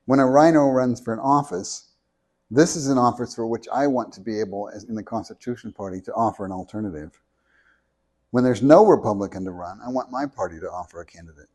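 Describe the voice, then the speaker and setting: Soft-spoken man, measured deliberate reading, handheld mic, small meeting room. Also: mid-range voice. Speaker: speaker at a meeting. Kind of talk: reading a prepared talk. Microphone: handheld microphone.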